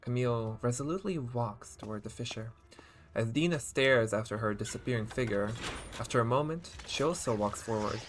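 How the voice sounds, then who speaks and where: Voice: mid-range voice, fairly quick. A game streamer giving casual live playthrough commentary and reading some text aloud, with game music behind.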